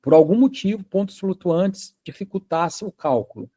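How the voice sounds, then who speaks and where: A man's voice: high-pitched, expressive, moderate pace, dark tone. A man teaching a university class in a casual, chatty way, often checking that students are following along. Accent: Brazilian Portuguese. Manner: chatty, asks students if they follow, many fillers. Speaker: university lecturer. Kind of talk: informal classroom lecture.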